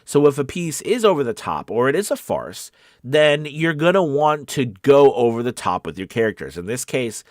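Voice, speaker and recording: A man's voice: medium pitch, sing-song, moderate pace, no room sound, clean studio sound. A man coaching voice-over in a casual, conversational live talk, encouraging in manner, with a slight case of the sniffles. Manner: encouraging, conversational, often checks in with "okay" and "right". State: sniffly with a cold or weather sniffles.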